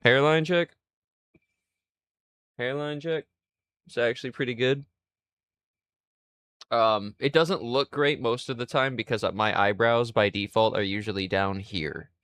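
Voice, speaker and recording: A man in his twenties streaming live, rambling in a crude, self-mocking way and thinking out loud. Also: mid-range voice, a little slow, pauses often, smooth, no echo. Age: 20s. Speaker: livestreamer. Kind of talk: casual livestream chat.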